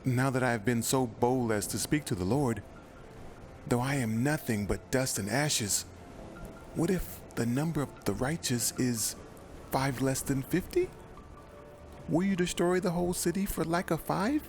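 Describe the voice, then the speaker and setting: A man's voice: medium pitch, measured pace, close to an everyday voice. A man voicing an old male patriarch who humbly pleads and bargains, with music behind. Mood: pleading. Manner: earnest, imploring.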